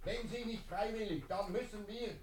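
A man's voice: high voice, normal speed, echoey room, recorded from a distance, a little hiss or hum, natural voice. A man playing a stern, threatening policeman in a radio play. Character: stern policeman giving a last warning.

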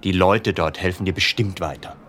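A man playing a calm, helpful history teacher who speaks in a measured, gently correcting way in a children's radio play. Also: low-pitched, a little hiss or hum.

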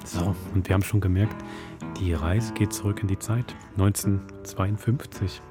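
Male host, very low pitch, conversational, loud guitar music underneath. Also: steady tone, moderate pace. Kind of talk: post-episode podcast chat.

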